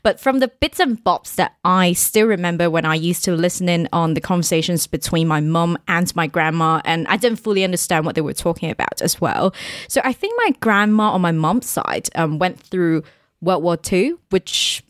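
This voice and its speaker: A woman from England talks as a podcast guest in a slightly low voice, chatty and quick to laugh. She fills her speech with ums and restarts sentences as she thinks aloud. The sound is dry and close, with no room echo. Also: animated. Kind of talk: casual interview chat.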